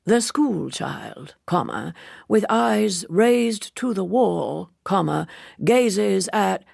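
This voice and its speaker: A woman voicing a courteous, pedantic male novelist in a slow, deliberate, ornately polite audiobook delivery. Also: mid-range voice, big pitch swings, creaky, darkish tone, husky, no echo, clean studio sound, full character voice.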